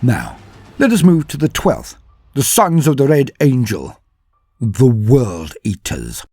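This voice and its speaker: A man's voice: mid-range voice, huge pitch swings, slow pace, warm tone, breathy edge, dry recording. A man delivering scripted lore narration in a grave, dramatic storytelling manner. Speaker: host narrator of a lore channel. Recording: faint music behind. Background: faint music.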